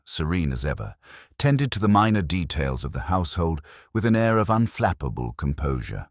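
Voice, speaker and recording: A man reading a scripted mystery story as a narrator, in a measured, formal way. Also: sits low, no echo, studio quality. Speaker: audiobook narrator.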